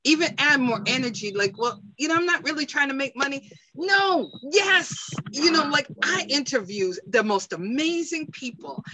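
A woman's voice: sits high, a little hiss or hum, poor audio. A middle-aged woman on a video call, speaking with energy and encouragement. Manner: energetic, encouraging, rising emphasis. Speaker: panelist, interviewer. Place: home room, indoors.

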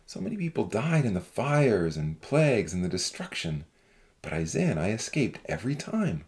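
A man acts a gentle, devout believer in a Bible story audiobook. The voice is low and earnest, with lively movement in pitch. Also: normal speed. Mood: reflective, solemn. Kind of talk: dramatized audiobook dialogue.

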